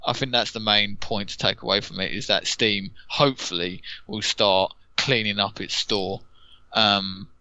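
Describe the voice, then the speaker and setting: Bright-voiced man co-hosting a podcast. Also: on the low side, fairly even intonation, unhurried, clean tone, dry recording. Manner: earnest, repeats himself while thinking. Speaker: podcast co-host. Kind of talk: casual podcast chat. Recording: dull, dry close mic.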